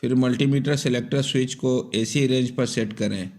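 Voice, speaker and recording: A man's voice: mid-range voice, normal speed, a little mellow. A man giving step-by-step instructional narration for an electronics repair tutorial in a methodical, explanatory manner.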